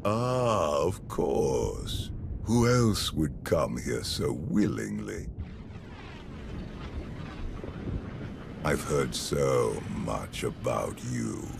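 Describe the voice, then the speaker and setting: An ancient dark emperor from a video game, coldly amused and pompous, in a very deep, gravelly voice. He draws out his mocking words slowly, over clear rumbling noise. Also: expressive, heavily acted. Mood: intrigued, sinister. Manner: pompous, slow and deliberate, mocking. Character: ancient evil emperor's spirit, arrogant, coldly amused villain. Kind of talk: scripted video game villain acting.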